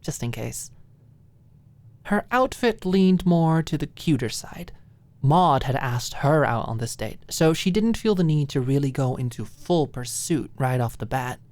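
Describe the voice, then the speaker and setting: A woman narrating an audiobook story in an expressive, scripted style. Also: sits low, unhurried, no echo.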